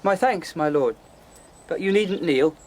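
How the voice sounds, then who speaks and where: A cocky, lovesick young king, lightly pitched. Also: expressive, unhurried, a little hiss or hum. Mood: brisk, commanding. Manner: commanding yet pleading, teasing. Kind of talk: radio drama acting.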